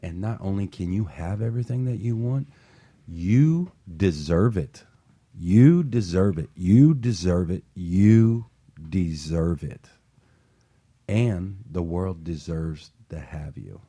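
A man's voice: low voice, lively intonation, takes it slowly, mellow, husky. A man hosting a talk show in a motivational style, affirming and repeating phrases for emphasis. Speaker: talk show host and workshop coach.

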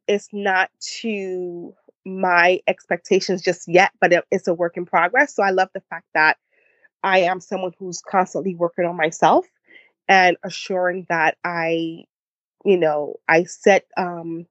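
A woman's voice: on the low side, fairly even intonation, measured pace, dry recording, dull audio. A woman in her forties with a Haitian accent, a guest in a casual interview, reflective and often restarting her sentences. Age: 40s. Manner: reflective, with many restarts and laughs. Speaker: podcast guest.

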